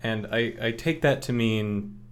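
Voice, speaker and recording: A man hosting a news podcast, asking questions conversationally and deliberately, phrase by phrase. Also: medium pitch, a little hiss or hum. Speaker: podcast host, interviewer.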